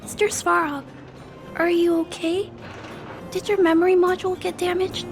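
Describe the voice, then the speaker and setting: A shy little girl from a video game. Her voice is very high and fairly flat in melody, innocent and polite, with faint music behind her. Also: normal speed. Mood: worried, shy. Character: timid, caring little girl.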